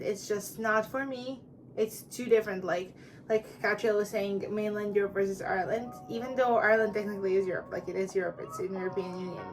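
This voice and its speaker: A woman's voice: medium pitch, rough recording. A young woman from Croatia, a co-host and student, talking frankly and casually. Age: young adult. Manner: frank and easygoing, hedging with "like" and "just". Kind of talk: casual chat.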